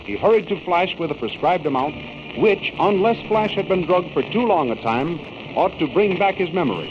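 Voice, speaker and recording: A man's voice: high-pitched, expressive, moderate pace. A man with an American accent narrating a 1930s radio adventure serial in a formal, theatrical announcer style. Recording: noisy, dull vintage radio broadcast recording.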